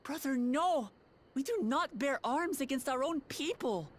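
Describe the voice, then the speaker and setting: A woman's voice: sits high, expressive, a little slow, a little hiss or hum. A woman voicing an earnest, bold young princess in a fantasy game, with a determined, declarative delivery. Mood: defiant. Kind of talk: fantasy video game acting. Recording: game music behind the voice. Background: music.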